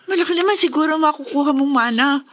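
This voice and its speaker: A young, high-pitched woman of radio drama, played by an actress, loving and cautious. She pleads anxiously with the one she cares for. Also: fast, lightly acted. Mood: worried warning. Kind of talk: Filipino radio drama acting.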